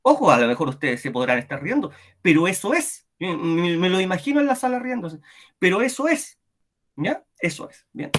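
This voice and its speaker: A man's voice: high-pitched, normal speed. A man with a Chilean accent lecturing a history class online, constantly checking in with his students as he talks. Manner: animated, keeps checking in with "¿cierto?" and "¿ya?". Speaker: history teacher.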